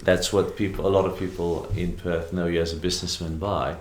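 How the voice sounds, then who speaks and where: A man in his forties hosts a faith interview with a very low voice, friendly and prompting. He sits in a book-lined studio with a table mic, and the room adds a roomy echo. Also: flat delivery, brisk. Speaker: podcast host.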